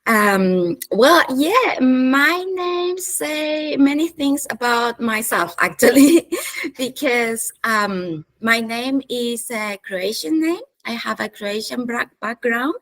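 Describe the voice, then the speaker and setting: A woman from Chile speaks in a slightly high voice, taking her time with each thought. She pauses and hesitates often, but she stays engaged as an interview guest. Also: expressive, a bit bright. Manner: slow, thoughtful, with hesitations and light laughs. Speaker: chief strategist, guest. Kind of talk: interview conversation.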